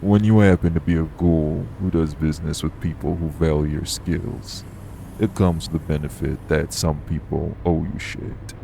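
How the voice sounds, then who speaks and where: A man acts out a shady, long-lived supernatural man in an urban fantasy audio drama. His voice is extremely low and full of gravel, kept to a narrow, flat line. He sounds guarded and world-weary, with faint vehicle noise behind him. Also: normal speed. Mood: guarded, grim. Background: vehicle on the road.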